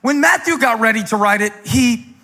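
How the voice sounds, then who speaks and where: A man in his forties preaching a sermon on a church stage into a handheld mic, telling a story and then building to loud, fervent emphasis. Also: extremely high pitch, lively intonation, normal speed, creaky. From United States. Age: 40s. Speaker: pastor. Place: large stage in a church hall. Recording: long hall reverb.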